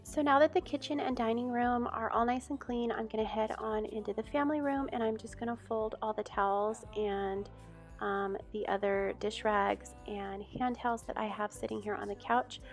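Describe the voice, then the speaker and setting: A relaxed woman in her thirties walks through a simple home project step by step, with easy fillers along the way. Her voice has a gravelly edge, and soft music sits faintly behind her. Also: on the high side, moderate pace. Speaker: home vlogger. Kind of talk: casual tutorial voice-over.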